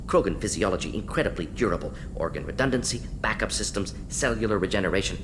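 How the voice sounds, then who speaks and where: A man's voice: lowish voice, animated, noisy background. An actor playing a brainy, pragmatic male alien scientist in a video game, speaking in clipped, telegraphic phrases. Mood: matter-of-fact, dismissive. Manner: clipped telegraphic phrases, rapid-fire listing. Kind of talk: video game dialogue acting.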